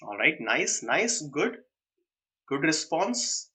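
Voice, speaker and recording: A young man with an Indian accent teaching a live online exam-prep class, switching between English and quick Hindi asides and answering students by name. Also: fairly high pitch, very animated, sharp tone.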